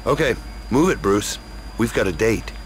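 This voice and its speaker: A man's voice: fairly low pitch, animated, normal speed, slight background noise, lightly acted, low-quality recording. A man playing a blunt, tough, loyal action hero in a video game cutscene. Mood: grim. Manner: blunt, gritty, swearing.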